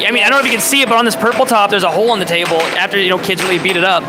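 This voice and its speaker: Upbeat male livestream commentator, high voice, loose play-by-play, arcade noise behind. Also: steady tone, rapid-fire. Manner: upbeat, chatty, calls plays on the fly. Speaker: air hockey commentator and host. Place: indoor downtown arcade.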